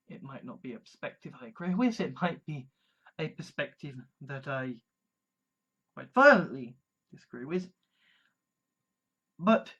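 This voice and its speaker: A man from England, giving a measured, deliberate monologue full of restarts and fillers. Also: on the high side, a bit bright. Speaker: video commentator. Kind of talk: casual monologue.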